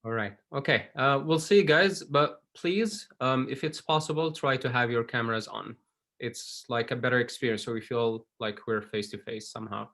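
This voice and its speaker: A male English teacher talking to his class over a video call, at an everyday middle pitch. He speaks in a friendly, patient way and drops casual fillers as he goes. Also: normal speed, vocal fry, fairly bright tone.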